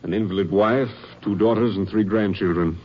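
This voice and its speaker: An actor playing a grim, determined Texas Ranger captain in an old radio crime drama, stern and commanding. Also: male voice, on the low side, normal speed, close to an everyday voice. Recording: muffled, hissy old radio recording.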